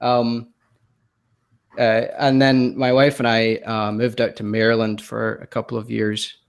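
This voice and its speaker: Gravelly Scotsman with a softened accent. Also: medium pitch, flat delivery, a little slow. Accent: Scottish, softened by years in the US. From Scotland. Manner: self-deprecating, hesitant, many ums and restarts, laughs often. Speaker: podcast guest, designer. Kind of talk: casual interview chat.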